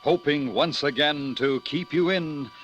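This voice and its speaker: A man's voice: a little high, measured pace. A man announcing a radio thriller with a dramatic, scripted 1950s introduction style. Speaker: programme announcer. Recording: old radio broadcast, loud music behind.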